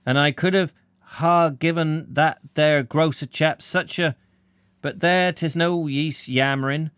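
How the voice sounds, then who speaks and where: A man voicing a sulking rustic village cricketer who speaks haltingly in broad dialect. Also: mid-range voice, smooth, no room sound, studio quality. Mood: sullen.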